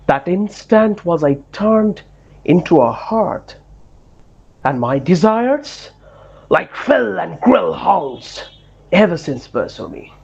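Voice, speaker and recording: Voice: mid-range voice, gravelly, a little mellow, husky, highs cut off, full character voice. A performer playing a lovesick, grandly romantic duke in a theatrical Shakespearean dramatic reading, with declamatory, swooning delivery.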